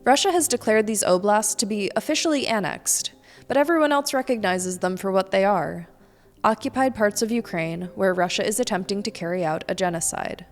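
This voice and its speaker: A woman's voice: medium pitch, a little fast, faint music behind. A young woman from the United States delivers a scripted weekly news rundown in a mid-range voice, with dry, pointed asides. Her voice carries a long reverb tail. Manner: pointed, sardonic commentary. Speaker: news presenter.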